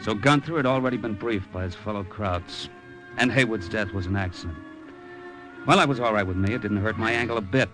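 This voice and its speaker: An actor playing a cynical, tough-talking American adventurer who narrates in a wry, slangy, clipped radio drama style. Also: male voice, fairly low pitch, brisk, lightly acted, poor audio. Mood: sardonic. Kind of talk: 1950s radio drama acting, hard-boiled narration. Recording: old radio recording with music behind.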